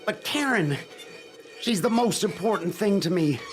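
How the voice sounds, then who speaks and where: An actor playing a desperate, guilt-ridden middle-aged father and professor in an audio drama, halting and pleading. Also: sits high, expressive, soft background music. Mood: grave, anxious.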